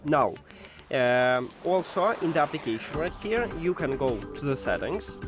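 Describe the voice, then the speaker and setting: Lively non-native English-speaking man giving tutorial. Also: a little high, measured pace, over music. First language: not English. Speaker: tech tutorial presenter. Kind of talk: step-by-step how-to narration.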